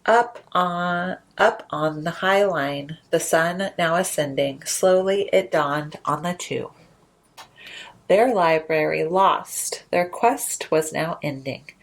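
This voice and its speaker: A woman hosting a children's storytime, reading aloud in an expressive, deliberate storytelling manner. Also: lowish voice, rough recording. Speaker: librarian storytime host. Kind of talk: expressive read-aloud of rhyming children's picture book.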